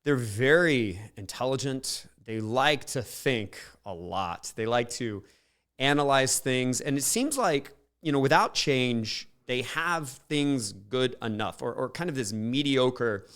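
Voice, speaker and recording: Smooth-voiced male podcast host. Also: mid-range voice, expressive, slow pace, a bit bright. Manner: rambling, wordy questions with fillers. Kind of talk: interview questions.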